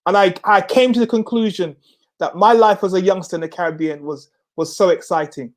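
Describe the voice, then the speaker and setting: Host, male, middle-aged, raised in Jamaica; high-pitched, halting restarts, punchy repeated slogans. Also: steady tone. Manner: stammering repeats, laughs often, emphatic slogans. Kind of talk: casual chat.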